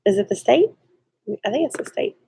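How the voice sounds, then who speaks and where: Stuffy-nosed woman, creaky and dry. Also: a little high, sing-song, brisk, dark tone, no echo. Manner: chatty, giggly, self-deprecating, reads comments aloud. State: stuffy nose. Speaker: co-host of a live stream, reading viewer comments. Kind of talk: casual live-stream chat.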